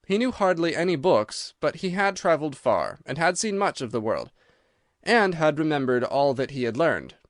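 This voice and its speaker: A man's voice: a little high, dry recording, studio quality. A man voicing a cerebral, self-possessed detective who recounts his past in articulate, precise detail for an audiobook. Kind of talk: dramatised audiobook reading.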